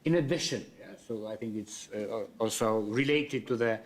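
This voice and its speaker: A man speaking on a panel, earnest and halting, with many pauses as he makes his points. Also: on the low side. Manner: hesitant, many fillers and restarts, earnest. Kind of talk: panel discussion.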